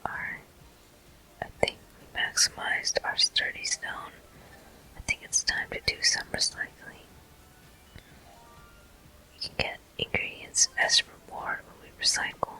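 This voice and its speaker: A gameplay host speaks entirely in a breathy whisper for ASMR. The sound is very bright and airy, with no real voice behind it. The delivery is soft and unhurried, gently narrating each step of play. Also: poor audio. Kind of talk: ASMR whispered game commentary.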